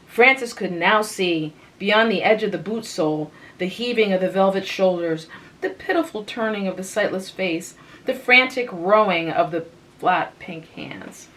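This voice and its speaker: A woman reading a literary short story aloud in a calm, measured, soft manner. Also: on the low side, low-quality recording. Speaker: reader.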